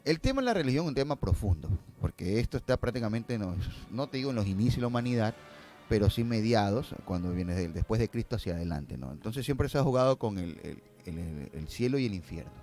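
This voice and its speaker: A man's voice: lowish voice, very animated, quick pace, dark tone, soft background music. A man talking casually on a podcast as a guest, explaining step by step and often checking in with his listeners. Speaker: guest filmmaker.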